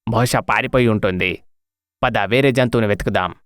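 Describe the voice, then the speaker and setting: A man's voice: medium pitch, a little fast, noisy background, natural voice. A man as a hungry, matey cartoon wolf. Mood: eager. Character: hungry male wolf hunter, easily spooked, matey. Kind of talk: animated story dialogue.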